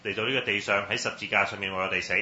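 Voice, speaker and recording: A man's voice: on the low side, level tone, racing, bright, forceful tone, slight background noise. A man interpreting a testimony phrase by phrase at church, opening each phrase with a filler. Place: church hall. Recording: roomy, muffled hall sound.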